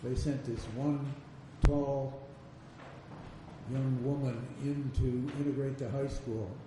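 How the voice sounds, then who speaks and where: A man's voice: medium pitch, little pitch movement. Older guy telling old stories, sounds far off, big echoey room, hissy. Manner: measured storytelling. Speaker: veteran magazine reporter on a panel. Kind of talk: panel discussion recollection. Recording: distant mic in echoey room with noise.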